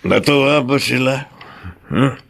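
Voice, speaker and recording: A drunken middle-aged father in a radio play. He drags his words slowly at a medium pitch, with a dogged, needling manner. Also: creaky, fairly bright tone. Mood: drunk, insistent. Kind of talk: Filipino radio drama acting.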